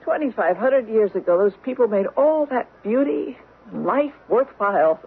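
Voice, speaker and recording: A woman's voice: on the high side, lively intonation, moderate pace, slight background noise. A woman playing an exhausted but elated tourist in a radio drama, gushing breathlessly in exclamations. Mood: elated, weary. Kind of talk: old-time radio drama acting. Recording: muffled old radio broadcast.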